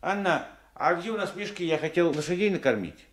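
Radio play: simple old peasant husband, meek, hesitant, animated intonation. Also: male voice, sits high, a little hiss or hum. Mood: homely, fussing. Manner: hesitant, halting, homely. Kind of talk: Soviet radio play acting. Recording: 1980s radio drama recording.

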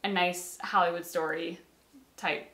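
A woman's voice: fairly low pitch, steady tone, measured pace, bright, forceful tone. A woman in her thirties giving casual commentary as a reaction show co-host. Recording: roomy indoor echo.

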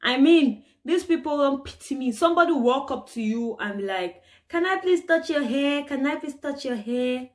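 A young woman from Cameroon telling her own stories to the camera, animated and exclaiming, acting out the people she met. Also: high voice, normal speed, hardly any pauses. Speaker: vlogger. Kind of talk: casual personal storytelling to camera.

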